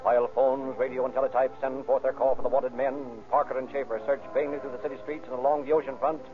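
A man narrating a vintage radio crime drama in an ominous, measured, florid manner. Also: mid-range voice, level tone. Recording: tinny narrow-band old radio recording. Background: loud dramatic music.

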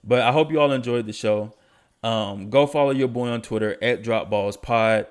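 A man's voice: medium pitch, normal speed, clear, resonant tone. Man, young adult, stuffy nose, casual podcast host, slangy, easygoing delivery. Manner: laid-back, slangy, enthusiastic about stats. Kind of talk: casual sports podcast talk.

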